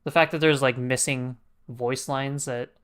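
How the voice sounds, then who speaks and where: A man's voice: medium pitch, steady tone, measured pace, a bit bright, clear, resonant tone. A man livestreaming a game with casual, joking commentary, reacting off the cuff. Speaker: streamer. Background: game music.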